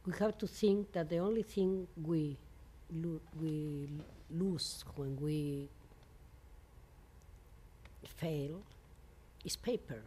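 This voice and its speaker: A woman composer from Mexico, speaking English with a Mexican Spanish accent as a non-native speaker. Her voice sits low and warm, and she talks slowly, pausing often with fillers and restarting her sentences. A faint noise hangs behind her. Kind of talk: interview. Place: venue in New York.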